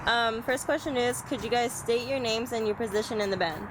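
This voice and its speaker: A woman's voice: on the high side, fairly quick, background noise. A woman interviewing a band, asking brief, plain questions.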